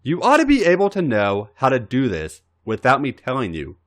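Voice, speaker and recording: A man's voice: medium pitch, huge pitch swings, normal speed, voice pushed up. A man voicing a flustered, bossy, easily exasperated lover in a story, with folksy, whiny, emphatic delivery.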